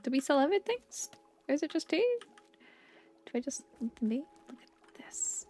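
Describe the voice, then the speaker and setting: A woman giving casual, playful gameplay commentary as a video host, chatty and quick to exclaim. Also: sits high, very animated, warm tone, faint music behind.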